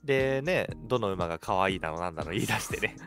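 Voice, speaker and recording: A man's voice: low-pitched, animated, quick pace, a bit bright, smooth. A man co-hosting a radio show in casual chat. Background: background music.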